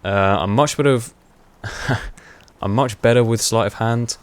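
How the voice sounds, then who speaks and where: A young man giving casual, rambling commentary over gameplay, with many fillers and frequent laughs, his voice getting hoarse. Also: medium pitch, unhurried. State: voice going hoarse. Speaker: gaming commentator.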